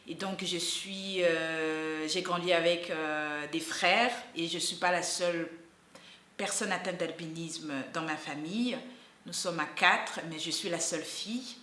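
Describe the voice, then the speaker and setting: A woman's voice: lowish voice, a little slow, pushed and bright, roomy sound. A young woman in her twenties from the Congo, speaking, giving an earnest, hesitant personal testimony with many fillers and restarts. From Democratic Republic of the Congo. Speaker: university student and activist.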